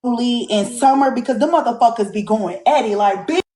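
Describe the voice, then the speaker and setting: A woman's voice: on the high side, fairly quick, dark tone. A woman on a reality show talking bluntly and profanely in rambling casual speech. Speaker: reality show cast member. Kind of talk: casual confessional chat. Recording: roomy clip with faint noise.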